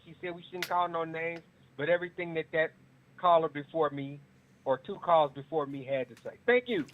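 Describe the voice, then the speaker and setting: A man's voice: a little high, normal speed. A man calling in to a radio show, preachy and repeating words for emphasis. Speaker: caller. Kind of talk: call-in radio comment. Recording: tinny phone line.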